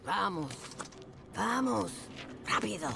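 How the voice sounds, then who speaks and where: A woman's voice: mid-range voice, lively intonation, takes it slowly, creaky, background noise, heavily acted. An actress playing a greedy old woman guide in a video game, with a Spanish accent and a terse, insistent manner. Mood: urgent. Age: older. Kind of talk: video game cutscene acting. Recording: game music behind.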